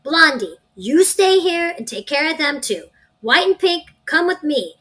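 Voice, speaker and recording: A woman's voice: sits high, very animated, measured pace, fairly bright tone, heavily acted. A woman playing a brash, foul-mouthed crime gang boss in an audio drama, impatient and sarcastic. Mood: bossy, impatient.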